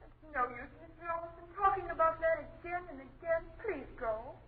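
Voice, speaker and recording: Scared prim young girl, really high voice, old radio drama, tinny and hissy. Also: brisk. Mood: frightened, pleading. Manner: pleading, prim. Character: frightened, polite young daughter of the house. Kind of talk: old-time radio horror acting.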